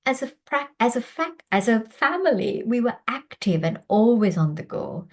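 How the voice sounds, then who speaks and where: A woman in her fifties from Sri Lanka giving a prepared personal presentation as a doctor, in a measured, earnest way. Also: fairly high pitch, big pitch swings, darkish tone. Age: 50s.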